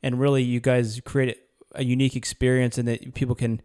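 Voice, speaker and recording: A man's voice: medium pitch, flat delivery, fairly quick, a little mellow. A man hosting a podcast, asking friendly, curious questions with many restarts. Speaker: podcast host. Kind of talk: casual interviewing.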